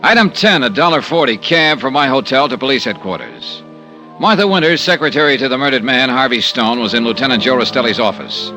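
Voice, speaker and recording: Casting: wry, persistent American private investigator, old-time radio drama, animated delivery with a bright, forceful edge. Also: male voice, mid-range voice, soft background music. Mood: wry narration. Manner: brisk, wry, probing questions. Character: shrewd, persistent male freelance insurance investigator. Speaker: lead actor and first-person narrator. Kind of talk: 1950s radio detective acting.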